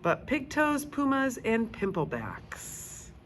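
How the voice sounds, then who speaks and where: A woman storyteller narrating a children's book aloud in an expressive style. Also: a little high, takes it slowly. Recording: faint background noise.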